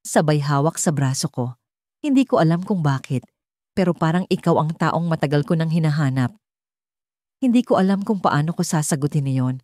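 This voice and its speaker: Low, mellow woman narrating a story. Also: expressive, moderate pace, no room sound, clean studio sound. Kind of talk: scripted first-person romantic story narration.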